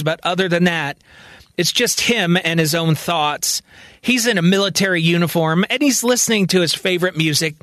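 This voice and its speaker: A man narrating a science-fiction story in a calm, conversational storytelling manner. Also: sits high, no echo, studio quality. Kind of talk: spoken sci-fi story narration.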